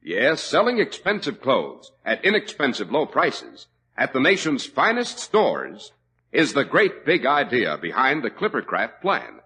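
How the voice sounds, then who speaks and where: A man's voice: medium pitch, wildly swooping pitch, unhurried, bright, forceful tone, husky. A male radio announcer reading a 1940s commercial in an emphatic, salesy way. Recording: old lo-fi radio broadcast.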